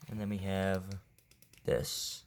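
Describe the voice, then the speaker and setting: Male vlog host, low-pitched, casual and unscripted, recorded with heavy noise. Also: completely flat delivery, slow pace. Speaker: video host demonstrating weather radios. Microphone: headset. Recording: heavy background noise, clicking.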